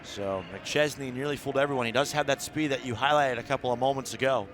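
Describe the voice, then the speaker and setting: Male baseball play-by-play voice, mid pitch, clipped live radio calls, steady outdoor background noise. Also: fast. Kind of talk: live radio sports commentary. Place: outdoor ballpark broadcast.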